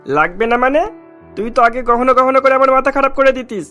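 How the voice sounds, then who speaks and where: A man's voice: very high-pitched, a little fast, bright, forceful tone, clear, resonant tone, highs cut off, soft background music. Brazen, sly cartoon street-food seller voiced by a man. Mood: defensive, irritated. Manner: brazen, boastful, dismissive. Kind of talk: Bengali cartoon voice acting.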